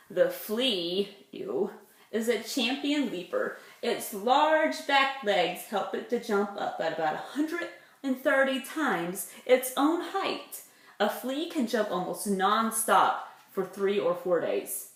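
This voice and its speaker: A woman, a preschool teacher reading aloud to young children with simple, chatty explanations. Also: medium pitch. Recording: echoey room.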